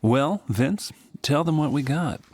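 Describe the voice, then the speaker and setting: A man's voice: fairly high pitch, animated, unhurried, dry recording. A man playing a composed, skeptical senior executive in a comic musical audio drama, with a dry manner.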